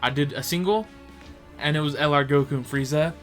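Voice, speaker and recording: A man's voice: a little high, a little fast, fairly bright tone, faint music behind. A young man co-hosting a podcast in casual chat, rambling and crude, often correcting himself mid-sentence. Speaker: podcast co-host.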